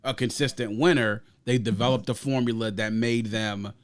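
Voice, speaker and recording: A man's voice: medium pitch, fairly even intonation, normal speed. Man hosting a rambling album chat. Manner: long rambling sports analogies; leads the discussion, asks others for picks. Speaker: podcast host. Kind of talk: casual music review chat.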